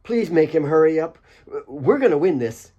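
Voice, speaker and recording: A man voicing an excited, eager young male footballer, urgent and exclamatory, in an audiobook. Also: on the high side, moderate pace, slightly rough, dull audio, voice pushed up.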